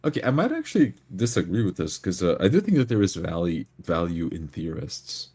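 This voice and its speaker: A man's voice: low voice, very animated, brisk, a little mellow, husky. A man in casual discussion, reasoning analytically and hesitantly as he searches for his words.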